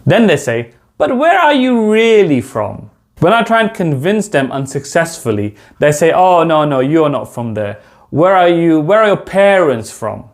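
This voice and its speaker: A man in his thirties from the United Kingdom, with a London accent, teaching a beginner English lesson to camera from a small room at home and spelling out slow, deliberate example sentences. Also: on the high side, very animated.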